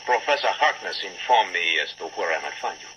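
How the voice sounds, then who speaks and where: An actor playing a composed, courteous Latin gentleman in a 1940s radio drama, speaking politely and precisely. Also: low-pitched, lively intonation, a little fast. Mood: politely cool. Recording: muffled old radio, faint hiss.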